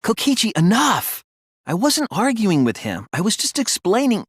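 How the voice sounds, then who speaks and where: A man voicing an earnest young male student detective in a video game, explaining his deductions in a deliberate, thoughtful way. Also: sits high, wildly swooping pitch, breathy edge, dry recording, clean studio sound, exaggerated voice. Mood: urgent, insistent. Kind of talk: scripted video game voice acting, deduction speeches.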